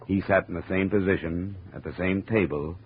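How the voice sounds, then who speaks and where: Actor as a low-voiced, wry radio detective. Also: male voice, steady tone, moderate pace, close to an everyday voice. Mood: matter-of-fact narration. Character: cool, wry hard-boiled male insurance investigator. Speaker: lead actor and narrator. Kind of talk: 1950s radio detective acting, first-person narration. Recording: noisy, tinny old radio recording.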